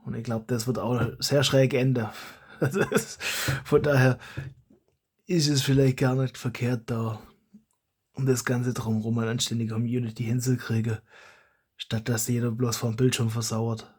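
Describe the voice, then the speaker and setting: A man's voice: medium pitch, little pitch movement, normal speed, slightly rough. A man with a German regional dialect, hosting his hobby channel in a rambling, self-correcting casual monologue.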